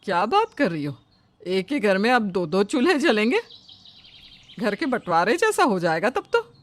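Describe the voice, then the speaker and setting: A woman's voice: mid-range voice, big pitch swings, normal speed, close to an everyday voice. A woman voicing an irritable, jealous middle-aged Indian mother-in-law in a cartoon moral story, with a scolding, emphatic manner. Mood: chatty.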